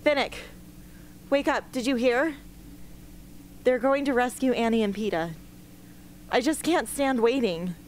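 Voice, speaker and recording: A young woman playing a determined, anguished teenage girl rebel in an amateur radio play, speaking earnestly and with measured care. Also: on the high side, slight background noise, lightly acted. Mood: urgent, pleading. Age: young adult. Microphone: close studio microphone on stand.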